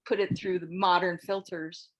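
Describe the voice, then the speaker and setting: A woman's voice: fairly low pitch, level tone, normal speed. A woman moderating a casual group discussion on a video call, keeping people in turn with a directive but reassuring manner. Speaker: moderator of a script critique session.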